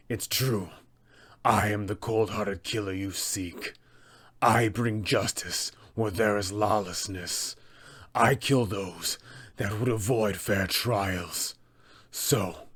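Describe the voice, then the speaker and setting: Tabletop villain, male performer: undead killer in trader's guise. Cold, calm menace, breathy and low, very slow, measured threats. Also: exaggerated voice. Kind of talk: villain monologue for a tabletop roleplaying game.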